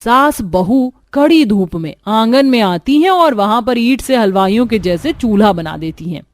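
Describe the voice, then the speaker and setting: A woman's voice: on the high side, expressive, moderate pace, no echo. A woman giving scripted narration for a cartoon family story.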